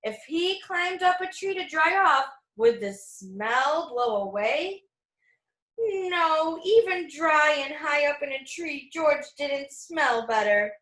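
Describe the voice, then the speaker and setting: A woman reading a children's picture book aloud as a storyteller, expressive and teacherly, with asides to young listeners. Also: high-pitched, slow. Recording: echoey room.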